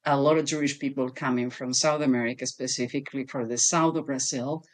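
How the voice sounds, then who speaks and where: A woman's voice: a bass voice, a little fast. Woman with an Argentine Spanish accent. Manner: lively, laughs easily, non-native grammar. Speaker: human behavior expert guest. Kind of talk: conversational interview. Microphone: video call.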